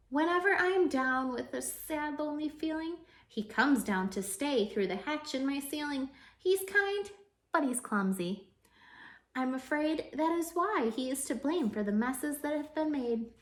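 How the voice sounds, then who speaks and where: Woman voicing an eager, high-pitched little boy. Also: reverberant. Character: little boy Sid, innocent fibber, eager and excitable.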